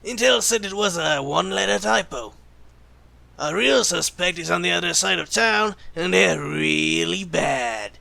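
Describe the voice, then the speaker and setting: Man playing a gravelly, callous, sarcastic secret agent. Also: high-pitched, measured pace, pushed and bright, dry recording, exaggerated voice. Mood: smug, sarcastic. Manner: flippant, sardonic, teasing. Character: callous, sarcastic male secret agent who jokes about killing. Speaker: voice actor in audio roleplay. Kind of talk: ASMR roleplay acting.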